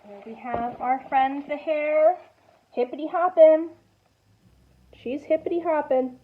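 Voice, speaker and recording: A woman teacher doing an expressive picture-book read-aloud for young children, commenting and asking prompting questions in an animated way. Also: high voice, slow pace, narrow, tinny audio, slight background noise. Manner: expressive, animated storytelling with gasps and exclamations.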